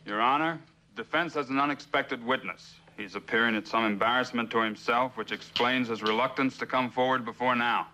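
An actor playing a formal courtroom attorney in a television drama, speaking in measured declarations. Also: male voice, mid-range voice, pushed and bright, a little hiss or hum, lightly acted, poor audio. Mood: formal, assertive. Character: formal male courtroom attorney addressing witness and judge.

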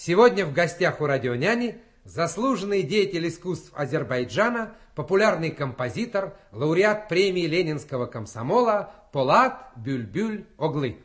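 A man's voice: a little high, big pitch swings, normal speed, few pauses. A man hosting a children's radio show in a scripted, comic presenting style.

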